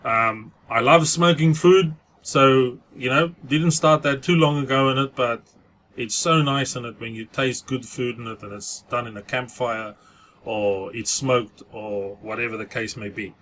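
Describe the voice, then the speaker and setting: A man's voice: medium pitch, a little slow, a bit bright. South african guy rambling on his channel, mid voice, bit of hiss behind. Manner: chatty, mixes in Afrikaans phrases. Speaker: vlogger. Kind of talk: casual vlog monologue.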